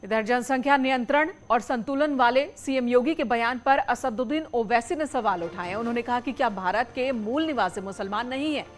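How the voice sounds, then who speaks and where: A woman's voice: a little high, normal speed, hardly any pauses, a little hiss or hum. A woman news anchor delivering scripted news narration.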